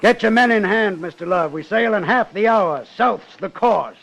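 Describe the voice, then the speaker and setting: An actor playing a gruff, suspicious whaling captain in a 1950s radio drama, with salty, challenging talk. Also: male voice, high voice, animated, moderate pace. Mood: stern. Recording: muffled 1950 radio broadcast.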